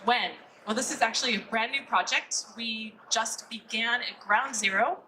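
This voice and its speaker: A woman's voice: fairly high pitch, normal speed, sharp tone, slight background noise, rough recording. A woman from California with a Californian American accent, giving an upbeat conference presentation and asking and answering her own questions.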